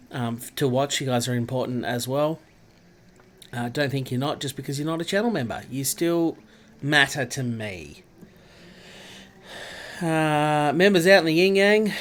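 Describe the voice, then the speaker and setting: A man's voice: mid-range voice, a little hiss or hum. An Australian man with a stuffy, nasal voice from a cold, chatting casually with viewers while streaming a racing game. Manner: chatty, greets viewers by name. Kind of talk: casual live-stream chat over gameplay.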